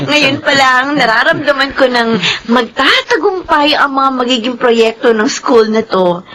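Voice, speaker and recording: High-voiced, emotional young Filipina daughter. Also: female voice, sing-song, slow pace, a crowd in the background, exaggerated voice. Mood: happy, hopeful. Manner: emotional, earnest delivery. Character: grateful, idealistic young daughter, a teacher with tearful joy. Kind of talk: Filipino radio drama acting.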